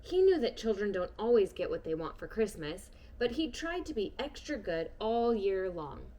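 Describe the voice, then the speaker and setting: A woman reading a children's picture book aloud with a lively, sing-song voice. She moves between hushed moments and brighter, bigger lines, keeping her pitch in a middle register. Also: normal speed. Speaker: storybook reader.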